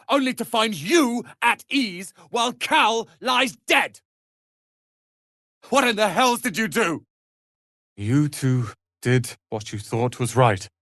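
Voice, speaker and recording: A man's voice: high voice, sharp tone, dry recording, studio quality, exaggerated voice. A man voicing a haughty, sardonic young wizard's apprentice in a video game, with a pompous, theatrical delivery. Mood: anguished anger, then subdued sorrow. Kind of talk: dramatic video game voice acting.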